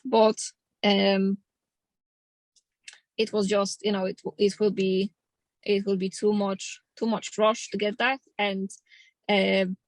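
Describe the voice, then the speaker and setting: A woman in her thirties with a Polish accent, speaking English as a second language. She talks as a boxer in an interview, plain and determined, at a middle pitch. The sound is close and dry, with no room echo. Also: level tone, slow pace, long pauses. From Poland. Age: 30s. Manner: plainspoken, determined, non-native grammar. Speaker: professional boxer. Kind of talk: casual interview.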